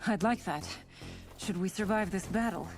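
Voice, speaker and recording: A woman as a fierce warrior over loud music. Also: mid-range voice, moderate pace, natural voice. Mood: composed. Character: fierce, composed female warrior in fantasy combat. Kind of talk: dramatic video game acting.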